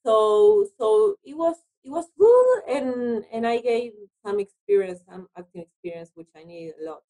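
A woman's voice: fairly high pitch, animated, unhurried, dark tone, rough recording. A woman from Argentina with an Argentine accent and Spanish as her first language, speaking hesitant English and repeating words as an interview guest. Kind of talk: conversational interview.

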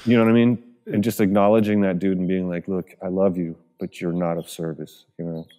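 A man with a deep voice, a musician guest on a podcast, talking in a relaxed, joking way and swearing often. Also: fairly quick. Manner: relaxed, profane, jokey. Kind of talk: casual interview chat.

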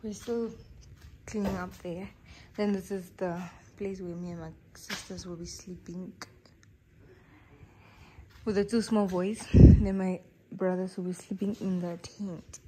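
A woman's voice: medium pitch, fairly even intonation, a little slow, dark tone. A young woman from Namibia chatting casually to her phone camera while showing a rural farm. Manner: chatty, laughing asides. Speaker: vlogger. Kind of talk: casual vlog chat to camera. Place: outdoors on a rural cattle farm. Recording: noisy outdoor phone audio.

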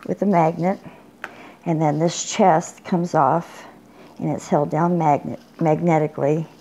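An older woman showing off a handmade craft project in an unhurried, plain way, with small pauses and restarts. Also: deep voice, warm tone, a little hiss or hum. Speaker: crafter showing her work. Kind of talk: casual show-and-tell. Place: indoors at a table, bedroom. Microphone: phone camera filming from above.

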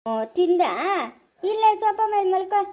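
A woman's voice: extremely high pitch, fairly even intonation, moderate pace. A woman or girl voicing a fussy, hard-of-hearing old grandmother who frets and calls out. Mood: fussing. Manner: fretful, scolding, calling out loudly.

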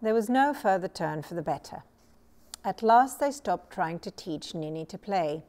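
A middle-aged woman reading a children's story aloud as a storyteller, measured and expressive. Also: on the low side, creaky. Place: small furnished room indoors. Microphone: lapel mic.

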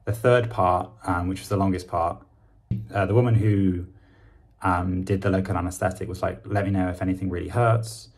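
A young man with a British accent talking casually to camera in a halting, understated way, with a husky, gravelly voice. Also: deep voice, fairly even intonation, a little mellow. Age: young adult. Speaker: vlogger. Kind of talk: casual vlog talk to camera.

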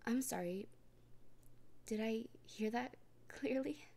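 Slow whispery woman with gravelly fry. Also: a little high. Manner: soft, often whispered, gently reassuring. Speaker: roleplay performer speaking as a partner. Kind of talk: ASMR comfort roleplay.

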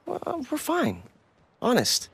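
An actor playing an eager, cheeky teenage boy adventurer in an animated game, talking in a casual, youthful way. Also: very high-pitched, slow pace, breathy edge, exaggerated voice. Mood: defensive. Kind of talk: animated game cutscene acting.

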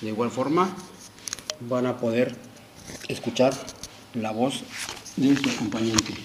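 A middle-aged man narrating a hands-on product tutorial, explaining deliberately step by step in short phrases. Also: mid-range voice, fairly even intonation, slight background noise. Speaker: product demonstrator, host. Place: small indoor room at home.

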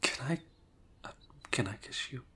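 A young man speaking softly and hesitantly in an improvised ASMR roleplay, often dropping to a whisper. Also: moderate pace.

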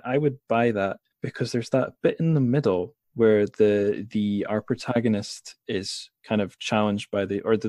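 Lowish-voiced man thinking aloud. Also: darkish tone, dry recording, clean studio sound. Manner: rambling, tentative, thinking aloud. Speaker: podcast host. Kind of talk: casual literary discussion.

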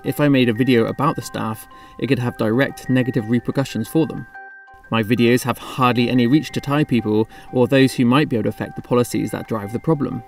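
A man narrating his travel vlog in a measured, reflective scripted voice-over. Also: medium pitch, creaky, darkish tone. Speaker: travel vlogger.

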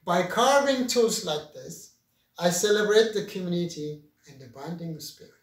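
Ethiopian-accented man, high lively voice. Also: moderate pace. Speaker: woodcarver. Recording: echoey room, mic at a distance.

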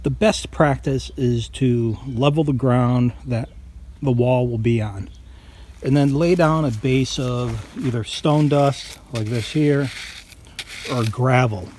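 A man's voice: mid-range voice, slow pace, darkish tone. Plain-spoken man, noisy outdoor recording. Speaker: farm vlogger. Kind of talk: casual how-to narration. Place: outdoors on a farm property. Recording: outdoor noise behind the voice.

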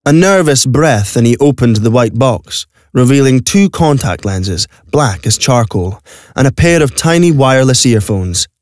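A man's voice: mid-range voice, lively intonation, unhurried, a little mellow, no room sound, clean studio sound. A man narrating a scripted audio drama as a storyteller. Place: recording studio. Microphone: close studio microphone.

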